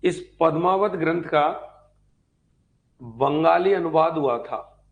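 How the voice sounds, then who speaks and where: A man's voice: fairly high pitch, takes it slowly, narrow, tinny audio. A man giving an informal online history lecture as a teacher, repeating key points and asking check questions. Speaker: history teacher for exam preparation.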